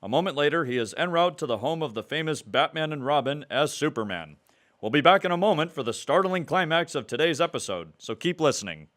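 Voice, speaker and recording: A man's voice: fairly high pitch, big pitch swings, a little fast, fairly bright tone. A man giving dramatic scripted narration in the style of a 1940s radio adventure serial.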